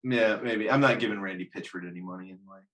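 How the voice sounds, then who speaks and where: A man's voice: fairly low pitch, fast talker, fairly bright tone. A man hosting a casual podcast chat, dryly dismissive in manner. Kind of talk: casual chat about films and games.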